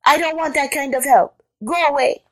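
A woman's voice: high-pitched, fairly even intonation, normal speed, vocal fry, pitched above the performer's own voice. A woman voicing a fussy, indignant female rabbit in an animal folktale, whining and protesting. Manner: whiny, protesting, exclaiming.

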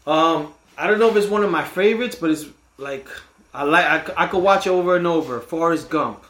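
A man's voice: on the high side, brisk, a bit bright, roomy sound. A man co-hosting a casual podcast chat, laid-back and searching for words, laughing easily. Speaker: podcast co-host. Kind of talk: casual chat over drinks.

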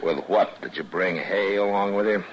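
An actor playing a stern, watchful U.S. marshal in a radio western, terse and authoritative. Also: male voice, low voice, normal speed, natural voice. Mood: dry, probing. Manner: terse, authoritative, dry questioning. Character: stern, watchful U.S. frontier marshal, lonely lawman. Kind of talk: 1950s radio western acting. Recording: noisy muffled old radio recording.

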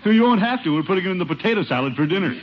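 An actor playing a pompous, put-upon middle-aged uncle in a 1950s radio sitcom, blustery and exasperated. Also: male voice, high-pitched, expressive, very fast, loud music behind the voice. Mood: flustered. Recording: muffled, very noisy old radio recording.

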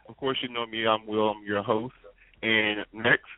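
A man's voice: on the low side, level tone, moderate pace. A man casually hosting a live call-in talk show over a conference call. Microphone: phone conference call. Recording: noisy, narrow phone-like sound with clicks.

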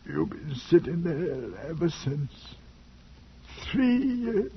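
An actor playing a haunted, deranged British ex-soldier, halting and dazed, speaking roughly in 1940s radio drama style. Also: male voice, a little high, huge pitch swings, full character voice. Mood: dazed, broken. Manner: halting, dazed, uneducated speech. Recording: muffled, noisy 1940s radio recording.

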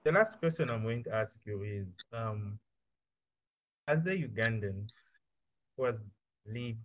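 Soft-spoken male podcast host. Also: lowish voice, animated, unhurried, dry recording, poor audio. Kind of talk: interview questions and thanks.

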